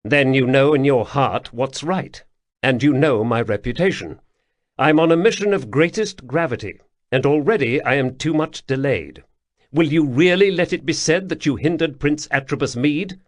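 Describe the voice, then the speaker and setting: An imperious young prince, voiced by a man, commanding and clipped, with an animated swing in his delivery. The sound is dry and close. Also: mid-range voice, moderate pace, dry recording, voice pushed up, natural voice.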